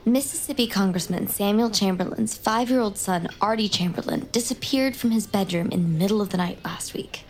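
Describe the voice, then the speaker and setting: A woman's voice: medium pitch, brisk, hardly any pauses, lightly acted. A woman playing a driven, inquisitive young crime survivor in an audio drama, laying out facts with intent emphasis. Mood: intent, urgent. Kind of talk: naturalistic audio drama acting.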